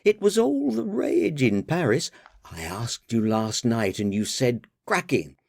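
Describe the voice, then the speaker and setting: A man's voice: medium pitch, sing-song, gravelly, dry recording, clean studio sound, full character voice. A man voicing a bubbly, bossy young woman who scolds and chatters at her father. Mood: chirpy scolding. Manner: chirpy, nagging, quick to scold. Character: lively young adult daughter, bubbly and bossy.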